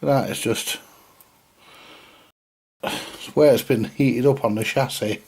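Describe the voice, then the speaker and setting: A man's voice: mid-range voice, steady tone, measured pace, darkish tone. A British man giving casual, colloquial hands-on repair commentary, thinking aloud and muttering to himself with a mild grumble. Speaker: vintage radio repairer presenting a video.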